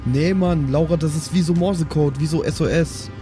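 A man's voice: on the high side, normal speed, mellow, faint music behind, lightly acted. A man playing an easygoing, slightly exasperated stoner in an improvised audio drama, talking colloquially and groping for words. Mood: puzzled, insistent.

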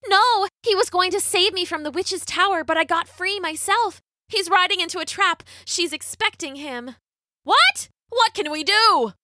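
A woman's voice: very high voice, normal speed, sharp tone, dry recording, voice pushed up. A woman voicing a brave, frantic young fairy-tale girl, urgent and pleading, in a storybook style. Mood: alarmed. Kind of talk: storybook character voice.

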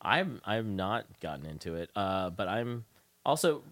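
A man hosting a casual conversational interview, thinking aloud haltingly and putting in follow-up questions. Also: fairly low pitch, big pitch swings, a bit bright, no room sound. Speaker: radio show host interviewing a guest.

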